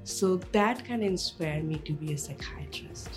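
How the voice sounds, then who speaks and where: A woman psychiatrist from Bangladesh with a Bangladeshi accent, telling a personal story in a lively way with non-native phrasing. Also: low-pitched, a little slow, music in the background. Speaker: child and adolescent psychiatrist.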